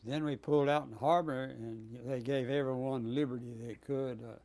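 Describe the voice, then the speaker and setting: Elderly man in his nineties, mellow and dark-toned, unhurried and halting, pausing often with fillers, sometimes coughing. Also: medium pitch, fairly even intonation, gravelly. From Texas. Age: 90s. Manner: halting, many pauses and fillers. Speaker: Navy veteran guest. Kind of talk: oral history interview.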